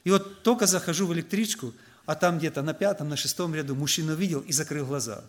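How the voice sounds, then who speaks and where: Higher-voiced man preaching with anecdotes. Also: fast talker. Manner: conversational, anecdotal, frequent filler "vot". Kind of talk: storytelling sermon to a congregation.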